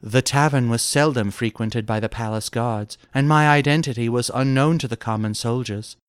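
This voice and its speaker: A man plays a young noblewoman of pulp fantasy. The voice is soft, smooth and clear, with formal archaic phrasing. The recording is dry and close. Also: mid-range voice, normal speed, no echo, natural voice. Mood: composed, confiding.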